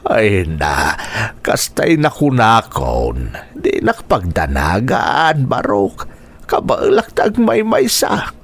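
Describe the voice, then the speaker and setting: Slow-talking kindly older uncle. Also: male voice, lowish voice, wildly swooping pitch, slightly rough, exaggerated voice. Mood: tender, resigned. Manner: slow, fatherly, reassuring. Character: kindly, reassuring older uncle, a retiring teacher. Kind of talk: radio drama acting.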